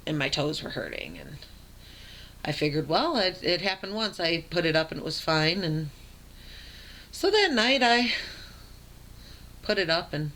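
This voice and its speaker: Young adult woman vlogger, deep raspy voice, plainspoken storyteller. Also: fairly bright tone. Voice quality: raspy low voice. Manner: frequent ums and uhs, plain and matter-of-fact. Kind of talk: casual personal storytelling.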